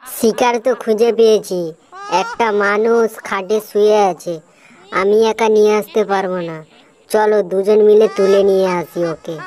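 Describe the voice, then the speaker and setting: An eager, plotting predator hunting people to eat, voiced by a woman in a cartoon tale. The voice sits at a medium female pitch. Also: fairly even intonation, moderate pace, a little mellow, close to an everyday voice. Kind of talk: cartoon story dialogue.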